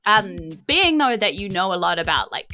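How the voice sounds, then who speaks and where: A woman's voice: mid-range voice, big pitch swings, normal speed, sharp tone, faint music behind. A woman with a New Zealand accent narrating a tabletop game as game master, chatty and informal.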